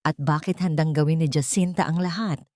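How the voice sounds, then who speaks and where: A woman's voice: deep voice, steady tone, fairly quick, dark tone, dry recording, studio quality. A woman reading a dramatic scripted story as an audiobook narrator.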